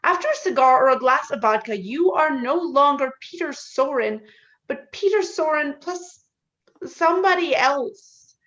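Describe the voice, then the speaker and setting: A woman playing a dismissive, philosophizing country doctor in a play reading over a video call, measured and matter-of-fact. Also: high-pitched, expressive, a bit bright. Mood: lecturing. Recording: slightly dull video-call audio.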